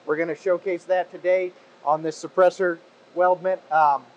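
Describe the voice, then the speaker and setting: Upbeat man hosting, higher-pitched voice. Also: flat delivery, normal speed, muffled recording. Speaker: video host. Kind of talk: casual presenting and interviewing. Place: industrial workshop, indoors. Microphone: clip-on wireless mic on shirt. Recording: clear background noise in workshop.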